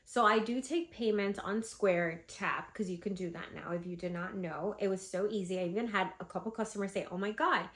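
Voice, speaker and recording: A woman's voice: medium pitch, fairly quick, few pauses. A woman with a stuffy, congested voice from a cold, chatting casually as she shares tips on selling at vendor events. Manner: chatty, animated, lots of fillers. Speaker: vlogger and candle seller. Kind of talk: casual advice vlog.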